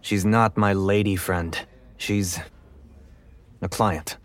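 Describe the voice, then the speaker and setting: An actor playing a troubled, world-weary 1930s police officer with a terse, brusque delivery in a noir audio drama. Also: male voice, deep voice, steady tone, takes it slowly. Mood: weary, bitter. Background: music.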